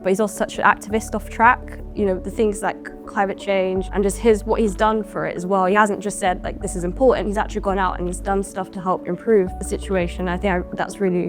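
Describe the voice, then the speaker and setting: A woman's voice: medium pitch, level tone, fairly quick, few pauses, soft background music. An eighteen-year-old woman racing driver talking casually about racing. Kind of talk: interview soundbites.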